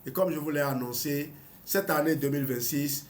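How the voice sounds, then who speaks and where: Host, man, 50s: somewhat high voice, reads from a book then comments loosely with restarts. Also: moderate pace. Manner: hesitant, with restarts and small laughs. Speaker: health channel host. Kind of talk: reading a book aloud with commentary.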